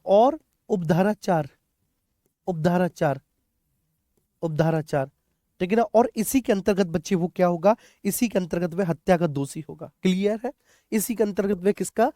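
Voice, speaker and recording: A coaching teacher with a mid-pitched voice in a dry, close recording. The delivery is a classroom lecture that repeats key points, puts questions to students and addresses them like children. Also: normal speed, pauses often, dark tone, dry recording. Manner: repeats key points, often checks understanding. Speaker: teacher, exam coaching instructor.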